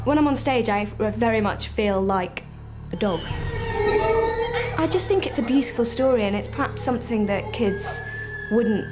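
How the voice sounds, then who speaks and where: A woman's voice: on the high side, lively intonation, moderate pace. A woman who performs on stage, talking informally in an interview. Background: clear music.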